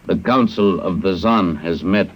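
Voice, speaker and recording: An actor playing a cold, logical immortal alien in 1950s radio science fiction, speaking in formal, clipped pronouncements. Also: male voice, low-pitched, measured pace. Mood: coldly formal. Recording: dull, lo-fi old radio recording.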